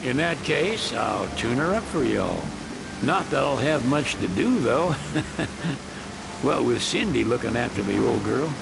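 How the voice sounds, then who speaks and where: Folksy, gravelly old Southern mechanic. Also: male voice, medium pitch, big pitch swings, noisy background, heavily acted. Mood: reflective. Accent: American South. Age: older. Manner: folksy, unhurried drawl. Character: folksy, wistful old male mechanic and boatman. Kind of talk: video game cutscene dialogue.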